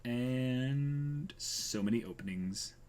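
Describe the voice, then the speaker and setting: A man co-hosting a manga read-along, reading aloud and reacting casually with goofy, excitable exclamations. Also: medium pitch, slow.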